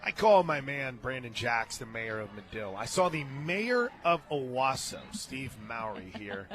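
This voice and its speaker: An American male sports announcer calls a softball game live from a ballpark booth. His voice sits at a middle pitch, and he slips chatty, joking asides in between the pitch calls. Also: very animated, unhurried. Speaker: radio play-by-play announcer. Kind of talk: live softball commentary with casual banter. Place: outdoor-facing radio booth at a ballpark.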